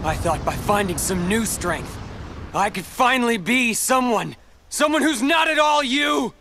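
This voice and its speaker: An actor playing a bitter, anguished replica of a teenage boy in a video game, with melodramatic delivery and dramatic pauses. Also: very high-pitched, expressive, bright, forceful tone, slight background noise, full character voice.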